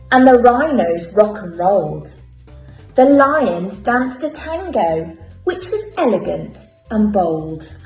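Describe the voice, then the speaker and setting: A woman primary school teacher reading a picture book aloud to children, clear and expressive. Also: medium pitch, echoey room, low-quality recording. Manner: clear, expressive, unhurried storytelling.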